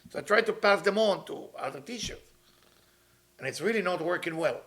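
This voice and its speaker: A man, his voice sitting a little higher than most men's. He teaches with earnest conviction, circling back over his phrases in loose grammar. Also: lively intonation, fairly quick, gravelly, a bit bright. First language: Hebrew. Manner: earnest, repeats phrases, non-native grammar. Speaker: kabbalah teacher. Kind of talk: weekly spiritual talk.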